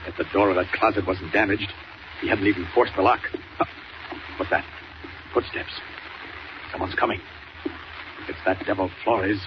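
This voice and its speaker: An actor playing a resolute superhero who thinks aloud tersely and dramatically in a 1940s radio serial. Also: male voice, deep voice, fairly even intonation, normal speed, long pauses, natural voice. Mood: tense, hushed. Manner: terse, dramatic self-narration. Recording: noisy, muffled 1940s radio recording.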